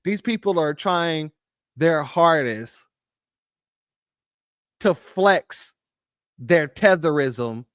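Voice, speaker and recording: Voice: mid-range voice, no echo, clean studio sound. A host reacting to a clip on a livestream, speaking in a heated, emphatic way. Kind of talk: livestream commentary.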